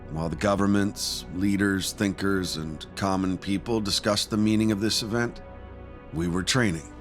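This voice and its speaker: A man playing an earnest army-pilot commander of a space crew in a video game, speaking deliberately and with authority. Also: lowish voice. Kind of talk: cinematic video game acting.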